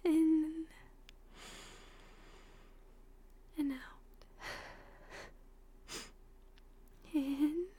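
A woman playing a tender, emotional young girlfriend in an intimate roleplay audio drama, speaking softly and breathily, often in whispers, with affection. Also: sits high. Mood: gently calming.

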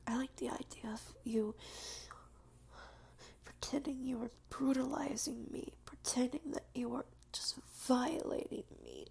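A woman playing a clingy, submissive, tearful lover in an intimate audio roleplay, speaking in halting, repeated phrases with a breathy, creaky whisper. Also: high-pitched, fairly even intonation, a little mellow, full character voice. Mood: shaken, tearful.

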